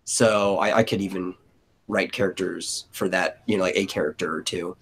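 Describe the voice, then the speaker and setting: A man with a lowish, slightly rough voice talks through ideas in a casual brainstorm. He rambles as he thinks aloud, sounding like a co-director planning with collaborators. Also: steady tone, moderate pace, fairly bright tone. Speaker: co-director planning an audio drama.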